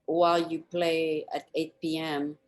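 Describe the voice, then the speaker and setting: A woman with a distinctly low-pitched voice hosts a show. She talks informally with a guest, in the loose style of a casual interview conversation. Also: level tone, measured pace, rough recording. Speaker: show host. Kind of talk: casual interview chat, wrapping up.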